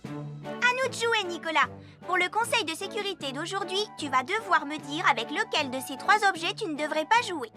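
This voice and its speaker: A woman's voice: extremely high pitch, lively intonation, normal speed, over music. A woman voicing a sensible, earnest young girl in a French children's cartoon, speaking in a careful, teacherly way. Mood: patient, insistent.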